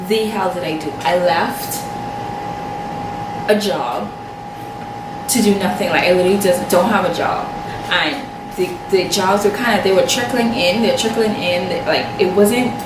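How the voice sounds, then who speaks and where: A young Bahamian woman talks straight to camera, chatty and animated, stopping for asides as she tells a story. She sits back from the mic in a roomy, echoing space. A steady hum of noise runs under her voice. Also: medium pitch, far from the mic. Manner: chatty and animated, full of "like" and self-quoting. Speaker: makeup artist vlogger. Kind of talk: casual personal storytelling to camera. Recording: echoey room, off-mic, steady background noise.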